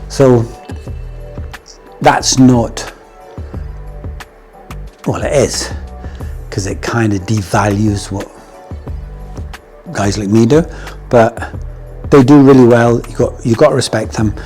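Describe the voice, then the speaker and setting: A middle-aged man with a Scottish accent talks through a golf lesson in a loose, chatty way. His voice sits at an everyday middle pitch, and he keeps checking in with a quick "yeah?". Faint music plays underneath. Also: a little mellow. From Scotland. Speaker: golf coach. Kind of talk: casual instructional talk.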